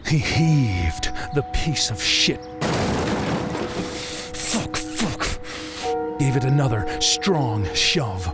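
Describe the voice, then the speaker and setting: A man narrating a scripted story as an audiobook narrator, deliberate and dramatic in delivery. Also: mid-range voice, lively intonation, over loud music.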